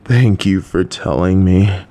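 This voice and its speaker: Slow-talking man playing a gentle dad. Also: low-pitched. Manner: slow, halting, warm dad jokes. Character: gentle, awkward, supportive middle-aged dad. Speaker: voice actor playing a father. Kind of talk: audio roleplay.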